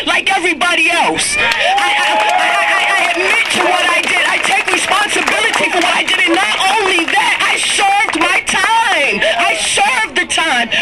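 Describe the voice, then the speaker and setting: A woman's voice: very high voice, noisy background. A middle-aged woman from Boston with a Boston accent, giving emphatic, raw public testimony at a speak-out. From Boston, USA. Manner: emphatic, repetitive and raw, rising to near-shouting. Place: public speak-out at a state house.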